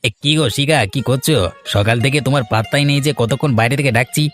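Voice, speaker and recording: A man's voice: mid-range voice, steady tone, fast talker, fairly bright tone, soft background music. A man voicing a village fisherman in a cartoon, talking in an easygoing way to his wife and a friend. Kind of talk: cartoon dialogue.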